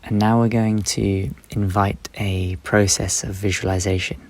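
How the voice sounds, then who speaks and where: Low, even-toned man guiding meditation. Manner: unhurried, instructive, with long pauses between short phrases. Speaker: meditation guide. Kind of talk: guided meditation.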